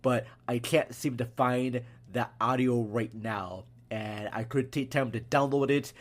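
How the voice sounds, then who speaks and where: Casual male podcast host, medium pitch. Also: fairly even intonation, unhurried.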